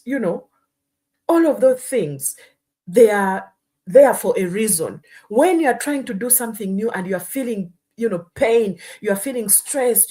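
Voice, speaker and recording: A woman from Uganda hosts a live stream with a fairly high voice. She talks earnestly and keeps checking in with her listeners, scattering "you know" and "hmm?" through her points. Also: a little mellow. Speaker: host. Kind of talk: casual live-stream talk.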